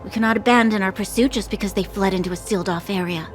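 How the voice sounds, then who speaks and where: A woman's voice: medium pitch, steady tone, fast talker, natural voice. A woman voicing a stern, dutiful young military commander in an anime game, formal and resolute. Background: game music.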